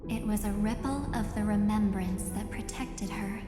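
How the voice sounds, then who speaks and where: A woman's voice: medium pitch, moderate pace, natural voice. A woman voicing a composed, enigmatic young woman in a video game, with a knowing, teasing manner. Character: composed, enigmatic young woman who knows hidden truths. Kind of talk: scripted video game voice acting. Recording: echoey, long reverb, loud music behind.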